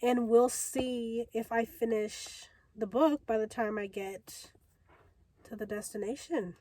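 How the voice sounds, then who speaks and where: A woman's voice: a little high, fairly even intonation, slow, dark tone. A young woman chatting casually and enthusiastically to camera in a reading vlog. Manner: gushing, enthusiastic. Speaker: book vlogger. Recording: clear ambient background noise.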